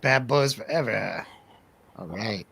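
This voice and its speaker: A man's voice: lowish voice, animated, slow pace, gravelly, fairly bright tone, husky. A man hosting a radio show, chatting casually about movies in a colloquial, jokey, agreeable way. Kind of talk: casual movie review chat.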